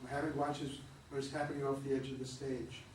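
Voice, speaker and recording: A man reading stage directions aloud in a theatre room, in an even, measured way. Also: mid-range voice. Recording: roomy, distant, noisy room recording.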